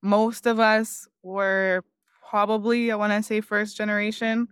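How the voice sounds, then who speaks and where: A woman's voice: medium pitch, little pitch movement, a little slow, clear, resonant tone, no room sound, studio quality. A woman from Miami, a podcast guest, talking in a reflective, earnest way and often saying "like". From Miami, United States. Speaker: podcast guest, customer success leader. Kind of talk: conversational interview.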